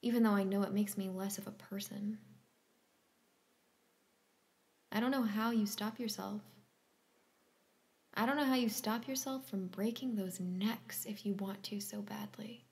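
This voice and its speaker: An actress playing a haunted woman with dark, reckless desires in a stage drama, often hushed. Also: medium pitch, steady tone, moderate pace, lightly acted. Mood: anguished, hushed. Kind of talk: stage-play dialogue acting.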